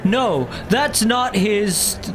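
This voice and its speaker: A man's voice: sits high, lively intonation, drawn-out words, music in the background, exaggerated voice. A man playing a blustering conservative talk show host who rants indignantly and rambles.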